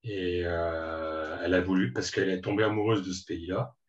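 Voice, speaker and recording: A man's voice: deep voice, little pitch movement, normal speed. A man taking part in a video-call meeting, chatting hesitantly and with fillers. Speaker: participant. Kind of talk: casual chat on a video call. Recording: distant, dull lo-fi call audio.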